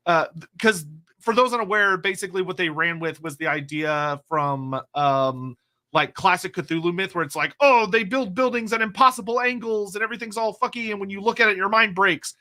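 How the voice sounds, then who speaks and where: A young adult man co-hosting a casual live stream, reading viewer questions aloud and rambling excitably about game lore, with casual swearing. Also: high-pitched.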